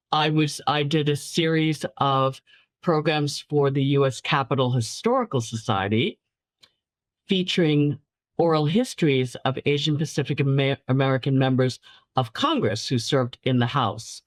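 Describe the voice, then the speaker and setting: A woman's voice: low voice, a little slow, dry recording. New york woman, chinese first language, storytelling, very dry sound. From New York City. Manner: warm storyteller, repeats words for emphasis, laughs lightly. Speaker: guest filmmaker. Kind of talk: casual interview talk.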